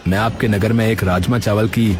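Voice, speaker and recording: A man's voice: lowish voice, steady tone, brisk, music in the background, lightly acted. A man playing a kind, polite young food seller in a cartoon story, speaking earnestly and reassuringly. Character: kind, polite young rajma-rice seller helping others. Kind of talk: Hindi cartoon dubbing.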